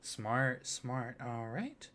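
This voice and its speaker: Soft-spoken man with a lively, lilting pitch. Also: extremely slow, a little hiss or hum. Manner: soft-spoken, polite customer-service patter. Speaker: ASMR roleplay creator. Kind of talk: ASMR roleplay as a friendly office clerk.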